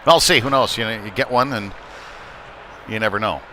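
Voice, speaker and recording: A man's voice: fairly low pitch, normal speed. A man giving live play-by-play of an amateur hockey game in an informal, folksy, conversational way. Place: indoor ice rink during a live game. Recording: noisy rink ambience behind voice. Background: clear rink and game noise.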